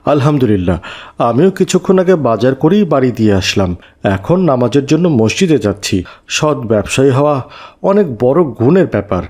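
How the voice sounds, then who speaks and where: A man's voice: medium pitch, sing-song, moderate pace, a little mellow. A man voicing a kindly, pious neighborhood uncle who gives earnest advice in a children's cartoon. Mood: kindly, instructive.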